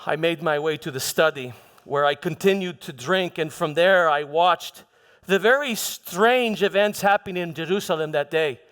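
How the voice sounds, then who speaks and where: A man's voice: fairly high pitch, fairly bright tone, clear, resonant tone, natural voice. A man playing a troubled Roman governor in a theatrical monologue, stammering and defensive as he justifies himself. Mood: uneasy recounting. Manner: halting, stammering, self-justifying, emphatic. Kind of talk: theatrical church monologue, first-person dramatization. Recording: long reverberant echo of a hall.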